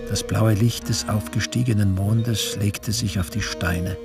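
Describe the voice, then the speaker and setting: A sombre camp survivor narrates a literary radio drama. His voice is low, with loud music playing behind it. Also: steady tone, a little slow, creaky.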